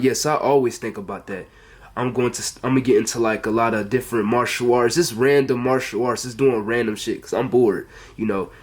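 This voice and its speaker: An American teenage guy talks casually to his camera in a medium-pitched voice. He is earnest and rambles, often repeating himself as he goes, like a young fitness vlogger at home. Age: teenager, under 18. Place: bedroom at home, indoors.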